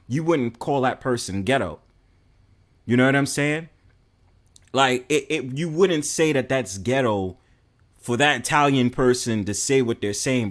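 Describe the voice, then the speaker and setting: A young man with a New York accent, talking casually and bluntly to his stream, full of fillers and swearing. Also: mid-range voice. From New York City. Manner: rambling, heavy fillers, blunt and profane.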